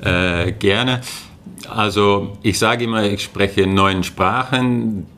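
Man, low voice, gravelly, hesitant with many fillers, faint background noise. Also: takes it slowly, a bit bright. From Netherlands. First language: Dutch. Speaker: guest, linguistics lecturer. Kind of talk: casual interview answers.

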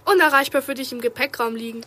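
Bright, quick, high-pitched young detective. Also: female voice. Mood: teasing. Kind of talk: German children's detective audio drama.

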